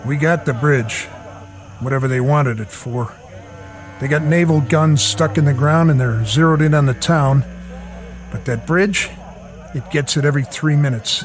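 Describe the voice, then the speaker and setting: Casting: a man as a practical, weary American sergeant in a war radio play, slightly high voice, gruff and terse. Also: lightly acted, rough recording. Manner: terse, gruff, matter-of-fact. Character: gruff, tired, practical male army sergeant. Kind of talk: radio war drama dialogue.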